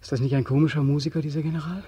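An actor playing a weary, haunted ex-soldier in a 1940s radio drama, pleading and obsessively repeating himself. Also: male voice, on the high side, steady tone, very fast, mellow, slight background noise, lightly acted. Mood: haunted, insistent. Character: weary, haunted ex-soldier back from war, insistent.

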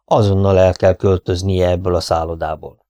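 A man voicing a calm, precise foreign detective, speaking in a measured, soothing way. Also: low-pitched, dry recording, studio quality, close to an everyday voice.

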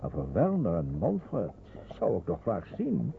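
A sober middle-aged father and refugee in a radio play. He is earnest and measured, his pitch moving widely, on a tinny old narrow-band recording. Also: huge pitch swings, creaky, slight background noise, exaggerated voice. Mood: hopeful, hesitant. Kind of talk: radio drama acting.

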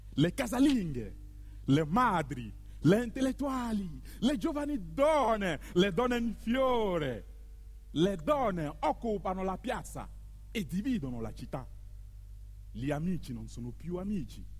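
A man's voice: sits high, a little mellow, breathy edge, long reverb tail, heavily acted. A man playing a mocking theatrical orator in a radio drama, declaiming with insistent, incantatory repetition. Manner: incantatory, repeating phrases insistently.

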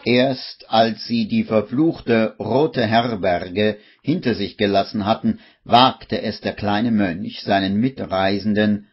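A man's voice: fairly low pitch, takes it slowly, hardly any pauses, husky. A man narrating a 1950s radio play as a suspenseful, theatrical storyteller. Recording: muffled vintage radio recording, music behind.

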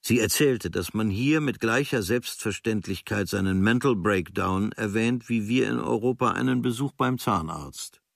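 A man playing an observant writer, reading his travel diary as a radio drama in measured, clipped literary phrases. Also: on the low side, creaky, no echo, studio quality. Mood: measured, reflective.

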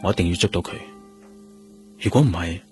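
A man as brooding policeman, low voice, Hong Kong Cantonese accent, earnest, restrained, introspective radio drama, music underneath. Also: brisk, close to an everyday voice. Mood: restrained, troubled. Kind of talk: Cantonese radio drama acting with inner monologue.

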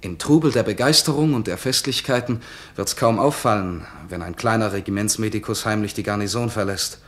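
An actor playing a determined young regimental doctor in a radio play, speaking earnestly and resolutely. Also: male voice, fairly low pitch, brisk, lightly acted.

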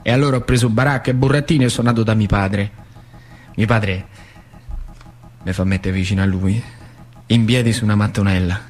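A man's voice: on the low side, a little fast, pauses often, close to an everyday voice. An actor playing an old working-class man from a factory town, telling his youth in earthy, repetitive, colloquial theatrical monologue with a central Italian accent. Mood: earnest, wry. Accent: central Italian, northern Lazio. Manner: animated, earthy, conversational, repeats phrases. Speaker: stage actor performing a monologue. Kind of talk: theatrical first-person storytelling in colloquial dialect.

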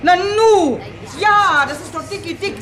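Society matron, high voice, bossy, declamatory, indignant, radio satire, crowd behind. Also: female voice, a little slow. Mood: commanding, excited. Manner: commanding, fussy, declamatory. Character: bossy, indignant society lady leading women's club. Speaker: actor in a radio crime satire.

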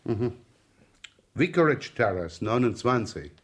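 A wry, rumpled male police inspector in a radio crime play. His medium-pitched voice is casual and teasing, with an easygoing way of putting things. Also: big pitch swings, takes it slowly, slightly rough, heavily acted. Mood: wry, probing. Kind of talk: German radio crime drama acting.

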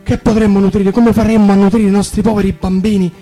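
A man's voice: high voice, little pitch movement, fast talker, warm tone, soft background music, voice pushed up. A man voicing a poor, anxious woodcutter father who pleads and stammers in a fairy-tale reading. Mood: anguished pleading.

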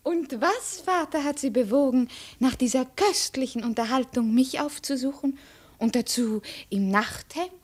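An actress playing a cool-headed, cutting young Chinese princess in a 1950s radio drama, speaking formally and precisely. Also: female voice, high voice, a little slow. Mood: probing, cool.

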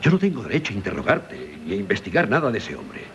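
An actor with a Castilian Spanish accent playing an earnest, anxious, protective husband and doctor in dramatic, questioning radio theatre style. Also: male voice, on the low side, huge pitch swings, fast talker. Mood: restrained, probing. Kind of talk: 1970s radio theatre acting. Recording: dull, noisy 1970s radio recording.

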